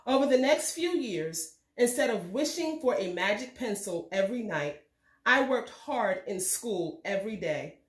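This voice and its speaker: A woman reading a children's storybook aloud in a measured, expressive way. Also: mid-range voice, reverberant. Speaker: read-aloud host reading a children's book. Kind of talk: scripted storybook narration for children.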